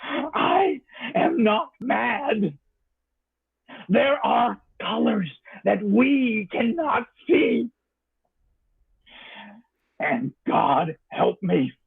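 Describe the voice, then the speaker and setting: Actor as a gravelly, haunted man of science. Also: extremely high pitch, no echo, full character voice. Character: anguished man of science defending his sanity. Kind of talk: old-time radio drama acting. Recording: muffled phone-like line.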